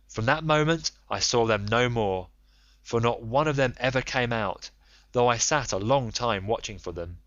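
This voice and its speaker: A man's voice: mid-range voice, fairly bright tone, no room sound, highs cut off, lightly acted. A man voicing a frightened, despairing sailor in an audiobook epic, speaking in an earnest, piteous way. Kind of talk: audiobook character reading.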